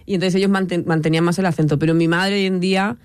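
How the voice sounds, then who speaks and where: Quick-talking young woman from Chile. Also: lowish voice, fairly even intonation, darkish tone, smooth, no room sound, studio quality. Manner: rambling, self-correcting, many restarts. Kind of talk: casual chat.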